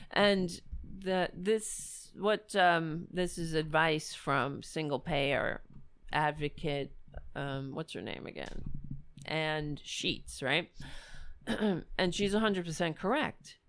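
A woman hosting a political talk show, speaking with fiery, rallying conviction and frequent restarts, her voice going hoarse. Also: low-pitched. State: losing her voice. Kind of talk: impassioned political commentary.

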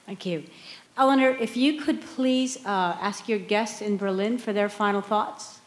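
A woman with a mid-pitched voice moderates a live discussion. She speaks in short unscripted prompts, thanks and handovers. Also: measured pace.

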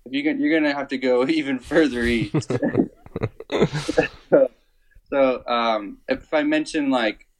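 A man in his twenties from Canada, a musician guest talking casually in an interview, low-key and hesitant, with many fillers and restarts. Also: a little slow, pauses often, a little hiss or hum, rough recording. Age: 20s.

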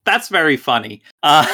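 A man co-hosting a casual podcast discussion, speaking enthusiastically and haltingly, often doubling his words. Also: a little high, pushed and bright, breathy edge. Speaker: podcast co-host.

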